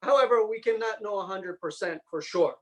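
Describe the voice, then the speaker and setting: A man speaking as a religious lecturer, explaining points in a measured way with halting phrases, as in a scholarly talk and question session. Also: sits high.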